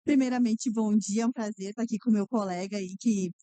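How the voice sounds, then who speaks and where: A woman's voice: medium pitch, fairly even intonation, brisk, warm tone, clean tone, no room sound. A woman co-moderating a podcast, chatting cheerfully and hesitantly with many fillers. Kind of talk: casual chat.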